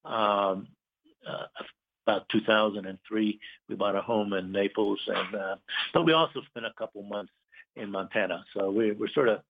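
A middle-aged man from Puerto Rico talks casually as an interview guest. His voice sits low, and he pauses and fills often as he searches for words. The sound is thin and tinny, like a phone call. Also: vocal fry. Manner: hesitant, many pauses and fillers. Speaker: guest, business owner.

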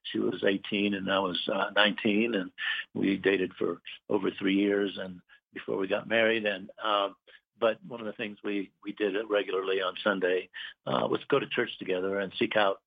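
A middle-aged man from Puerto Rico talks casually as an interview guest. His voice sits low, and he pauses and fills often as he searches for words. The sound is thin and tinny, like a phone call. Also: little pitch movement, vocal fry. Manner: hesitant, many pauses and fillers. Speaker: guest, business owner.

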